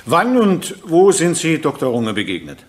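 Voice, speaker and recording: Formal, slightly high-voiced presiding judge. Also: male voice, wildly swooping pitch, moderate pace. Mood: formal, businesslike. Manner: formal, businesslike. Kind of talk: German radio courtroom drama acting.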